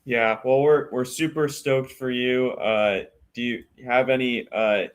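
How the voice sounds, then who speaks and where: A man's voice: medium pitch, steady tone, unhurried, clean tone. A man co-hosting a podcast, asking a question casually and stumbling over his words.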